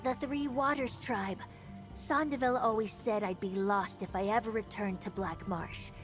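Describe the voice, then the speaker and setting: A woman plays a freed reptilian tribeswoman in a fantasy video game. Her voice is medium in pitch, with an earnest, reflective delivery. Music plays clearly behind her words. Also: measured pace, natural voice. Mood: hopeful, proud. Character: earnest female Argonian tribeswoman, freed from slavery. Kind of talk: video game character dialogue.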